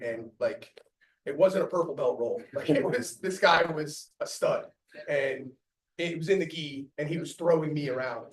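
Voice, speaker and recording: A man telling a story about a training partner in a chatty way, speaking to his gym. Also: fairly high pitch. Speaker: gym member. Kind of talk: casual speech to the gym. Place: martial arts gym. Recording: echoey gym from far off.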